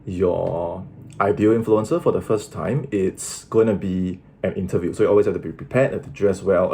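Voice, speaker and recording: A 33-year-old man, a sales closer, answering in clipped replies and then calmly telling viewers what happened. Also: on the low side. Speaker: sales closer and channel host. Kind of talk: casual call, then reflective narration.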